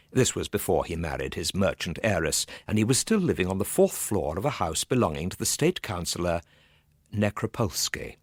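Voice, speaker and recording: A male storyteller with a low, slightly rough voice reads a comic ghost story. His delivery is theatrical and highly varied in pitch, with careful old-fashioned diction, recorded close and dry. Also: no room sound. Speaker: storyteller, actor. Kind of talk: dramatic first-person narration of a comic ghost story.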